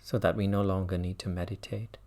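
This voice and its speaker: A man leading a guided mindfulness meditation as a teacher, speaking gently with long pauses between phrases. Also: sits low, flat delivery, vocal fry.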